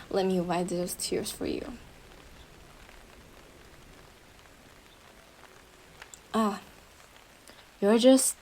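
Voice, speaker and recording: A woman's voice: medium pitch, darkish tone, poor audio. A woman playing an obsessive, possessive girlfriend in a roleplay audio drama, cooing endearments to the listener with a sweet but menacing manner. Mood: falsely comforting.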